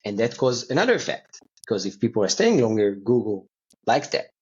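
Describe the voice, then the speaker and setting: Halting man, dull lo-fi sound. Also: medium pitch, big pitch swings, a little mellow. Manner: halting, repeats words and phrases. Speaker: company co-founder and CEO, interview guest. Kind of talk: conversational interview answers. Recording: dull, lo-fi call audio.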